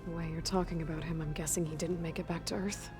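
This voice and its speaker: An actress playing a young video game heroine, direct, practical and skeptical, with an understated, plain-spoken delivery. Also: female voice, deep voice, fairly even intonation, fast, over music, lightly acted. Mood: dry, skeptical. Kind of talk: video game character dialogue.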